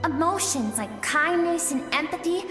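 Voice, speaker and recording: A woman playing a young woman's gentle emotional inner self in a video game, speaking softly and earnestly with heartfelt pleading. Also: sits high, animated, normal speed. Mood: pleading, warm. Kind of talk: scripted video game voice acting. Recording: echoey long reverb, music behind.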